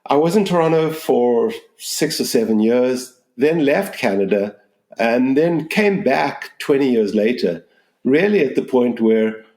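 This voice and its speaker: A man in his sixties from Zimbabwe with a mixed Southern African accent, answering interview questions in a measured, explanatory way. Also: mid-range voice, lively intonation, a little mellow, reverberant. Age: 60s. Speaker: business school dean, interview guest.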